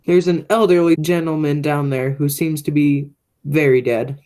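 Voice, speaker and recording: A woman's voice: deep voice, steady tone, moderate pace, warm tone, natural voice. A young woman playing a menacing, stubborn male criminal in an amateur radio play. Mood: threatening. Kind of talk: radio play acting, high school theatre.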